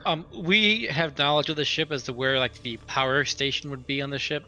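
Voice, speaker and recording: Quick, bright male tabletop gamer. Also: mid-range voice. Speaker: tabletop game player. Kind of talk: casual tabletop game chat. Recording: dull lo-fi audio, faint noise.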